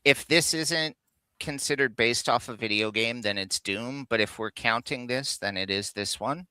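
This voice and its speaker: A man's voice: mid-range voice, normal speed, pushed and bright, clear, resonant tone, dry recording. A man co-hosting a casual geek talk show, explaining film trivia in a chatty way. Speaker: co-host of a live geek countdown show.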